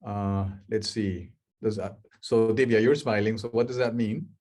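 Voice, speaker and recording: A man's voice: on the low side, fairly even intonation, a little slow, a little mellow. A middle-aged man from Peru with a Peruvian Spanish accent, giving a chatty webinar talk on a video call and asking the audience questions. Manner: chatty, asks the audience questions, many restarts. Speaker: guest speaker, life coach.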